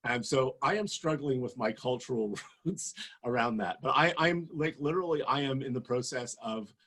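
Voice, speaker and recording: A man in his sixties delivers an impassioned campaign speech, pressing his points with emphatic force. His voice is husky, and he sits at a middle pitch. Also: animated, moderate pace. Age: 60s. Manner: passionate, emphatic, rising to forceful peaks. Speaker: political candidate.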